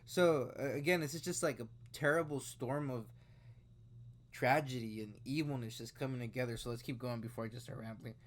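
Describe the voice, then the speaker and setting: A man's voice: mid-range voice, brisk. Rambling twentysomething man reacting to news. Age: 20s. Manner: rambling, conversational, with frequent fillers and restarts. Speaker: video host reacting to news. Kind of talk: casual commentary.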